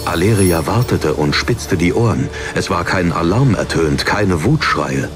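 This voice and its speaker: A man's voice: low voice, animated, a little slow. A man reading a fantasy story as a scripted, dramatic audiobook narration. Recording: narration over background music.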